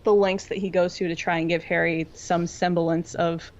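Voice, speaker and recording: A woman's voice: fairly low pitch, flat delivery, normal speed. A woman co-hosting a podcast, casually discussing fiction in an earnest, thoughtful, informal way. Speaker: podcast co-host. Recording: dull lo-fi audio.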